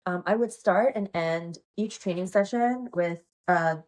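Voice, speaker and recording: A woman's voice: lowish voice, measured pace, darkish tone. An American woman chatting casually as an interview guest, informal and giggly, with lots of slang. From United States. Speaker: podcast guest, pole dance instructor and performer.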